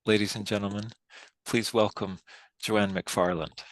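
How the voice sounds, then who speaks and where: A man hosting and moderating a literary event, giving a prepared introduction in a formal, measured way. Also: fairly low pitch, fairly even intonation, dry recording. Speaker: host and moderator of a poetry reading. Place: online video event.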